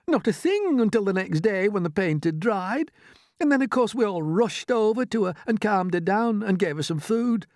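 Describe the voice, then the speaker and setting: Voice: mid-range voice, animated, moderate pace, darkish tone, dry recording, studio quality, voice pushed up, natural voice. A storybook reader voicing a fretful, chatty female spider with a rambling, emphatic, dramatic way of talking. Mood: fretful, aggrieved.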